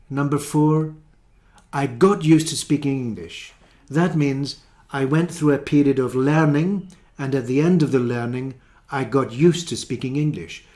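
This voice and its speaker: A man's voice: mid-range voice, mellow. A man teaching an English grammar lesson, carefully explaining and repeating each example sentence.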